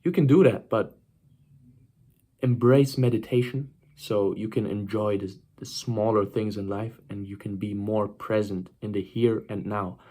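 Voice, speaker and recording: A man's voice: on the low side, steady tone, measured pace, mellow. A nineteen-year-old man giving a casual motivational talk to camera, speaking emphatically, repeating himself and often checking in with the listener. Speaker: self-improvement vlogger.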